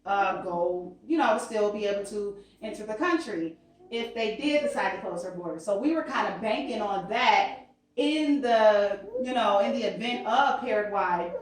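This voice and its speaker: A woman's voice: mid-range voice, animated, normal speed, very echoey, distant mic, low-quality recording. A woman co-telling a travel story in a conversational way, hesitating with many fillers. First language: English. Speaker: vlogger. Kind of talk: storytime chat.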